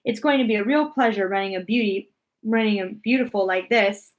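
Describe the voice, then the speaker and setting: A woman's voice: medium pitch, fairly quick, close to an everyday voice. A woman voicing an effusive, deferential male hotel manager from a storybook. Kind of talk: storybook read-aloud character voice.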